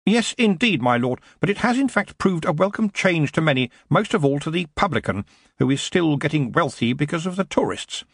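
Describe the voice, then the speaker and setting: A man's voice: fairly high pitch, big pitch swings, fairly quick, creaky, no echo, clean studio sound, voice pushed up, exaggerated voice. A man voicing a fussy, deferential clerk in an audiobook, primly precise, polite and faintly disdainful. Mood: earnest, deferential.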